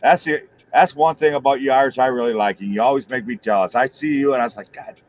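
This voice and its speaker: A man's voice: medium pitch, quick pace, highs cut off. A man in his fifties hosting a livestream, chatting loosely and joking with viewers. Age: 50s. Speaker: livestream host. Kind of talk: casual chat with viewers.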